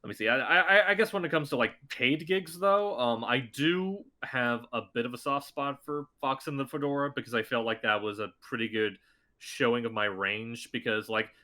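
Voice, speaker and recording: A man who is a voice actor, chatting casually as a podcast guest, talking excitedly and jumping his voice up high when he acts out what people said. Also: normal speed.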